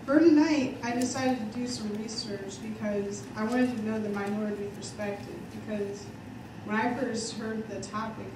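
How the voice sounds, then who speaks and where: A young woman in her twenties speaks casually and candidly into a handheld mic at a panel table. The sound is distant and echoes around a large hall, with a clear hiss of noise underneath. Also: mid-range voice. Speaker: student panelist. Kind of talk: panel talk to an audience. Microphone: handheld mic, heard from afar.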